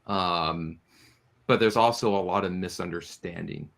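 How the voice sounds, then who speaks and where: A man giving earnest interview answers as a guest producer, explaining his points in a measured, halting way. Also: low voice, vocal fry, fairly bright tone.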